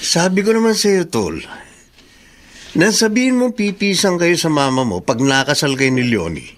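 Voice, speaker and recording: An actor playing a frank, knowing young Filipino office friend in a radio drama. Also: male voice, fairly high pitch, very animated, normal speed. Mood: knowing, advising. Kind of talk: Tagalog radio drama acting.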